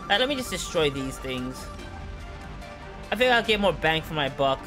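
A man with a Brooklyn accent giving casual, joking commentary while playing a game on a live stream. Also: on the high side. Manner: chatty, joking. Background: game music.

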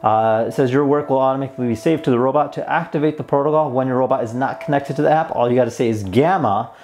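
A man's voice: medium pitch, fast talker, darkish tone. A man in his twenties giving a casual hands-on product demo in an easygoing, mildly enthusiastic way. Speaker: host of a toy review channel. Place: plain indoor room at a table.

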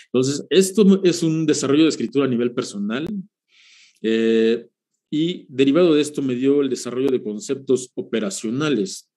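Brief: middle-aged male theatre lecturer on a webcam, mellow and warm, halting with "eh" fillers. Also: medium pitch. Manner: lecturing, with frequent "eh" fillers and self-corrections. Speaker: theatre professor giving a conference talk. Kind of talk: academic presentation over video call. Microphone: video call, webcam.

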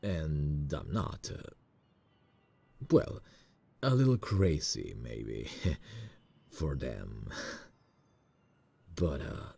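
A man acting a smitten, nervous boss in a romantic ASMR roleplay, speaking intimately and a little hesitantly with a gravelly, husky voice. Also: rumbling low, animated, very slow, pauses often, mellow, exaggerated voice. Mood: nervous, musing. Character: smitten, nervous male boss on a work trip.

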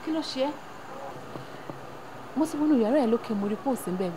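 A woman's voice: a little high, expressive, fast, noisy background, lightly acted. An actress playing a worried mother who scolds and coaxes her grown son, switching between languages. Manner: code-switching, scolding and coaxing. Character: worried middle-aged mother mediating at home. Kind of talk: emotional family drama acting.

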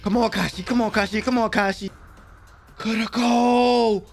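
A young American man giving excited, slangy reaction commentary at home on a close microphone, with an exclamatory manner. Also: high-pitched, normal speed, faint music behind. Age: young adult. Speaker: reaction video host. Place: small room at home. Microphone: close desk microphone with headphones.

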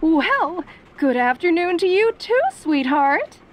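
Very high-pitched, sugary yandere mom. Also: female voice, big pitch swings, slow, full character voice. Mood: cheerful teasing. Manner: sugary, theatrical, genteel endearments. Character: perky, doting, middle-aged yandere mother, teasingly clingy. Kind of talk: audio roleplay acting.

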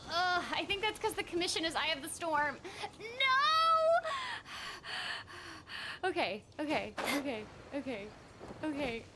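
An excitable, giggly young woman chatting casually on a live game stream, often repeating her phrases, close on a desk microphone. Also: very high voice, measured pace, long pauses, noisy background. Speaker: streamer and voice actress. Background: game music.

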